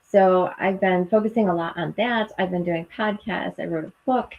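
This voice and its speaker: A woman's voice: lowish voice, moderate pace, vocal fry, lo-fi sound. A woman co-hosting a live chat over a video call, bubbly and chatty, laughing often. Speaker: co-host and coach for nurses.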